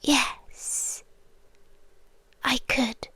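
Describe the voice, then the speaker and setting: A British woman voicing a possessive, obsessive female guardian angel in an ASMR roleplay, speaking softly and often in a whisper close to the mic. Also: drawn-out words, no echo. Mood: cold, secretive. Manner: soft, intimate, often whispered.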